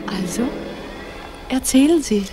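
An actress playing an immortal sea nymph, stately and lovelorn, solemn with hushed phrases, in a radio play. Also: female voice, sits high, lively intonation, measured pace, over music. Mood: wistful longing. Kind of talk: German radio play acting.

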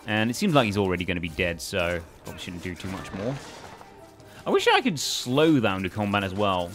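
Low-pitched man with lively game commentary. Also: brisk, soft background music. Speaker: let's play host. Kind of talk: casual game commentary, thinking aloud.

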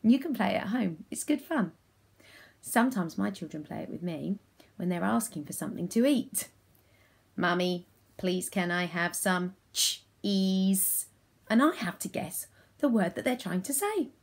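A woman's voice: medium pitch, big pitch swings, moderate pace, gravelly, darkish tone, slightly rough. A British woman in her thirties leading an interactive phonics lesson for young children at home, encouraging and questioning, with exaggerated enunciation. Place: small room at home.

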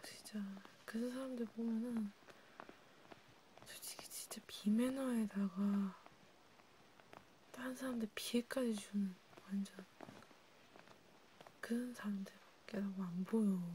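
A woman whispers softly in an intimate, chatty ASMR role play. Her voice is very mellow and close to the mic. Clear background noise and small clicks sit under the words. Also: medium pitch, steady tone, measured pace, long pauses. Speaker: ASMR creator.

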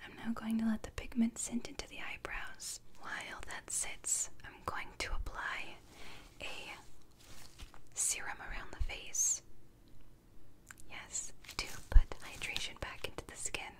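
A young woman in a soft whispered ASMR roleplay as a spa technician, speaking gently and soothingly, close to the mic. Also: fairly high pitch, flat delivery, unhurried. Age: young adult. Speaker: ASMR creator playing a beauty spa technician.

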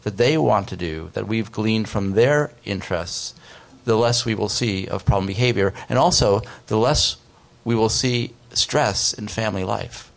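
A man's voice: on the low side, unhurried, creaky, few pauses. A man in his fifties, a researcher, explaining earnestly on a discussion panel at a desk microphone in a conference room. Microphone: desk microphone on stand.

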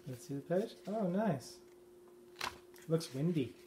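A man's voice: on the high side, expressive, slow. A man talking casually and encouragingly to a child, coaching from behind a handheld camera in a living room. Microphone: handheld camera mic, speaker behind camera. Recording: faint noise, clicks, off-camera home recording.

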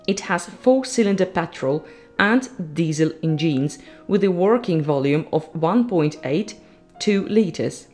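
A woman reading scripted documentary narration as a voice-over in an even, read-aloud way. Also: on the low side, measured pace, soft background music. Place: voice-over with background music.

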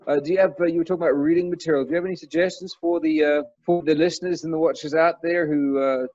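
Halting, slightly high-voiced male host. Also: steady tone, a little mellow. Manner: halting, lots of uh fillers. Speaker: podcast host. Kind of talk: hosting a casual video call. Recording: dry lo-fi call audio.

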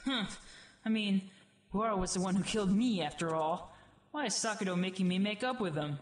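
A woman playing a sarcastic, brash young female creature in an audio drama, colloquial and expressive. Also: mid-range voice, a little fast, slight background noise, natural voice. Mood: sardonic. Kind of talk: dramatized webcomic audio play.

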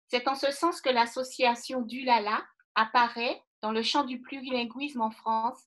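High-voiced woman giving a formal talk. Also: fairly bright tone, low-quality recording. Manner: measured, formal, rhetorical phrasing. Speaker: guest speaker. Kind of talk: formal prepared speech. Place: indoor room by a bright window. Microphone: webcam on a video call.

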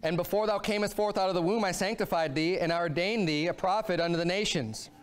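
A man's voice: sits high, fast, clear, resonant tone. A man in his thirties preaching a sermon from a church pulpit, his voice climbing and dropping as he drives his points home. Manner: animated preaching, voice rising and falling a lot. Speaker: pastor. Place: church sanctuary, speaking at a pulpit.

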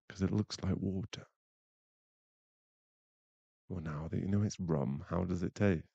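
A young man playing a teasing, bashful bad-boy werewolf boyfriend in an intimate ASMR roleplay, halting and murmured. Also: deep voice, creaky, dark tone, husky, no echo, muffled recording, exaggerated voice. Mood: teasing, concerned. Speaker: voice actor in an audio roleplay.

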